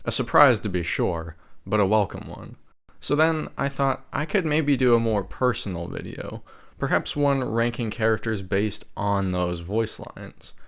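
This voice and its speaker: A man's voice: lowish voice, animated, unhurried, vocal fry, a bit bright. A man giving scripted, opinionated ranking commentary in a wry way, as a video creator. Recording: music behind the voice. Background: game music.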